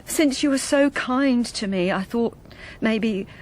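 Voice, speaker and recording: An actress playing a timid, wistful middle-aged wife in a radio drama, speaking in a hesitant, confiding way. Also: female voice, a little high, slow pace, a little mellow, poor audio. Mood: pleading, hurt. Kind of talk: radio drama acting with first-person narration.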